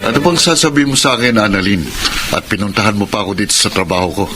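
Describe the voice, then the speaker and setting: A man's voice: fairly low pitch, animated, fast, loud music behind the voice, close to an everyday voice. A man playing a defiant, hot-tempered older brother who talks curtly and domineeringly in a radio drama. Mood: smug, dismissive. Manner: domineering, curt. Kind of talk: Filipino radio drama acting.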